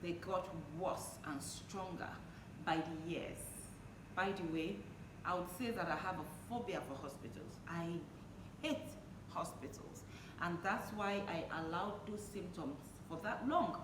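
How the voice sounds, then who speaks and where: A woman's voice: fairly low pitch, measured pace. A woman speaking as an interview guest, sharing personal testimony in conversation. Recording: echoey room, distant mic, noisy background.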